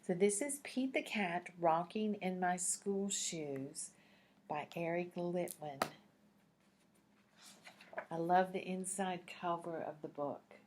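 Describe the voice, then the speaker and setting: A woman's voice: fairly low pitch, slow pace, a little mellow, a little hiss or hum. A woman reading a picture book aloud to young children in a teacherly way, putting questions to the listeners.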